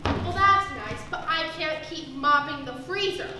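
A woman's voice: high-pitched, unhurried, noisy background. A young woman on stage playing a polite, doubtful new store employee in a comedy. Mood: unsure. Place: school theater stage. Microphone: distant camera in the audience. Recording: echoey theater stage from far off.

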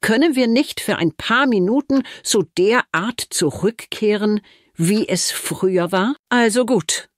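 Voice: medium pitch, measured pace, no echo, lightly acted. A performer voicing a teacher firmly locking her students out in a children's audio drama, recorded dry. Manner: firm, resigned. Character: teacher disciplining her class.

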